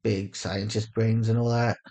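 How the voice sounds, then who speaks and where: Someone voicing a gravelly, weary male interrogator. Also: medium pitch, level tone, measured pace, dark tone. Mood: weary, resigned. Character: weary, resigned male interrogator, quietly menacing. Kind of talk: acted audio fiction.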